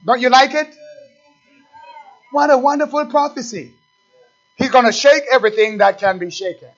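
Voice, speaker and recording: A man preaching a sermon as pastor, swinging from quiet asides to loud, slow, emphatic scripture reading and questions put straight to his congregation. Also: extremely high pitch. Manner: reads scripture slowly and with emphasis. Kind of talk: fiery sermon. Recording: dull, lo-fi recording.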